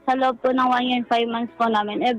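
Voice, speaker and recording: A woman's voice: fairly high pitch, level tone, brisk, highs cut off. Filipino woman, radio caller, courteous and deferential, telling personal story, background music. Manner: deferential, polite. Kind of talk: recounting personal troubles.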